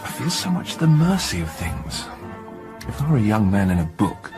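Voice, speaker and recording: An actor playing an anxious, grumbling young English dentist in a radio drama, with an English accent and clipped, old-fashioned phrasing. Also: male voice, on the low side, very animated, normal speed, over music. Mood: glum, worried.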